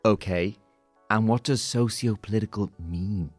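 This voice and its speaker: Deep, dim-witted man. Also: unhurried, faint music behind. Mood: slow, curious. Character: dim, blunt, childlike male radio sidekick. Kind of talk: darkly comic audio drama acting.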